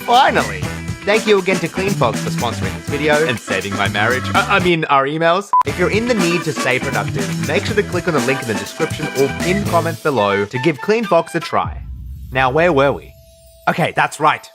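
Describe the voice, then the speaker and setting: A young man from Australia whose first language is Urdu, hosting a storytelling channel with playful, scripted comic narration. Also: brisk. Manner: animated and playful, jumping into high excited asides. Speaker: animated storytelling channel host. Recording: voice-over with faint background music.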